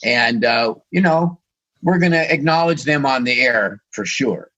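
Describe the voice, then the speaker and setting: A man's voice: mid-range voice, expressive, unhurried, sharp tone. A man co-hosting a radio talk show, losing his voice and talking hesitantly with fillers. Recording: dull lo-fi sound.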